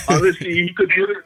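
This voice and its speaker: A young man with a Jamaican accent, a guest artist chatting casually in an interview, relaxed, and often saying "you know what I mean". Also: high voice, husky.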